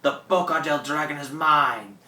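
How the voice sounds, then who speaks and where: An actor as a forceful, theatrical old French pirate. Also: mid-range voice, natural voice. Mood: furious, possessive. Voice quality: halting, thickened speech. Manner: theatrical, boastful, peppered with French exclamations. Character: ancient French pirate captain, proud, ailing, defiant. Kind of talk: radio drama acting. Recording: echoey room, distant mic, background noise.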